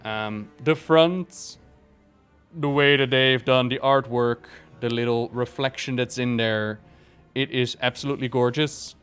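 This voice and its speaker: A man's voice: medium pitch, a little slow, a bit bright, clear, resonant tone, soft background music. A man chatting casually and enthusiastically as he reviews something for viewers, rambling a little and stressing his praise. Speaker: video creator reviewing a book.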